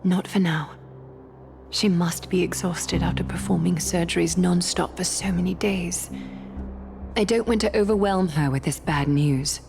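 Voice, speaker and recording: A composed, cautious noblewoman of a fantasy game. Her voice sits fairly low with a creaky edge, formal and solemn. Music plays clearly behind her. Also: measured pace. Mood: concerned. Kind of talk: scripted video game drama.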